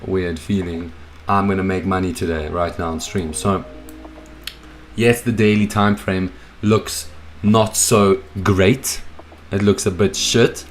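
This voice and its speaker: A young man with a hoarse, froggy voice from a cold, hosting a casual live stream from his home office, hyped up and greeting viewers in the chat by name. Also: low-pitched, slight background noise. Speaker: streaming host.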